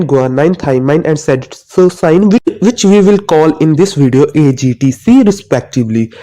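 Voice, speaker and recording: A man's voice: a little high, dark tone. A young man from Asia, a student YouTuber, giving a casual explainer monologue with a chatty, self-mocking teacher tone.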